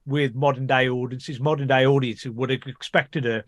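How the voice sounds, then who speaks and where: A man's voice: medium pitch, steady tone, normal speed, no echo, clean studio sound. A man on a podcast explaining a point at length, often repeating words as he goes. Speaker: podcast co-host. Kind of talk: casual chat.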